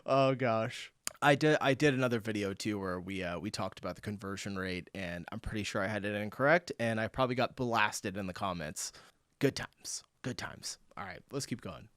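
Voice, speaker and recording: A man from the United States hosting a casual reaction video, reading out viewer comments in a relaxed, chuckling way. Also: lowish voice, normal speed, no room sound, studio quality. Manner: laid-back, chuckling, reads out viewer comments.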